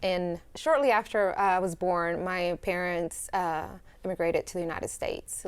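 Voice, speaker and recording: A woman's voice: on the low side, clear, resonant tone. A young woman from El Salvador, a student guest in a studio interview, speaking earnestly and reflectively with fillers and restarts.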